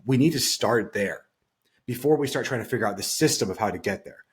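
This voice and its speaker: Husky-voiced man coaching on money. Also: lowish voice, lively intonation, a little fast. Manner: earnest, opinionated, reflective. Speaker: podcast co-host and financial coach. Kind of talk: casual podcast talk.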